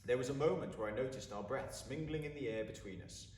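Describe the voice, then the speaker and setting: Expressive man reading, roomy sound. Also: mid-range voice, quick pace. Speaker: story reader. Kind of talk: expressive storybook narration. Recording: roomy, distant mic, faint background noise.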